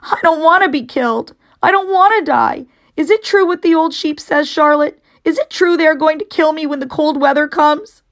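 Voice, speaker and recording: Woman voicing a high-pitched panicky young boy pig. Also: normal speed, few pauses. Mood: panicked, pleading. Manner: wailing, pleading, hysterical. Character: young male pig, childish, panicky and tearful.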